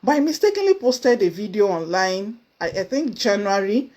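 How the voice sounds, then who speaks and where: A woman with a Nigerian accent giving a motivational talk to camera, earnest and emphatic, with sudden high exclamations. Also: very animated, moderate pace, a little mellow. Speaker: motivational speaker. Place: indoors, seated before camera.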